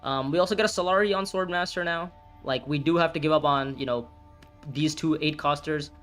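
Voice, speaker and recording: A man in his early twenties streaming a board game, thinking his moves aloud, reacting loudly and swearing at bad turns. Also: on the high side, fairly quick, soft background music. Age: 20s. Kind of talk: live gameplay commentary, thinking aloud.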